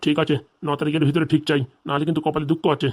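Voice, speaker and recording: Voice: mid-range voice, monotone, very fast, a little mellow, lightly acted. A performer voicing a stern, threatening male village police officer in a comedy cartoon, blunt, bossy and interrogating.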